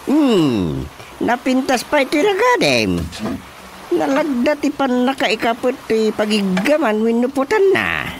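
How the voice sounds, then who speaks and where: A man acting an inquisitive village chairman in a radio drama comedy, with an Ilocano accent and probing, drawn-out questions. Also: extremely high pitch, huge pitch swings, noisy background, full character voice. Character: village chairman, man inspecting carpentry tools with growing suspicion.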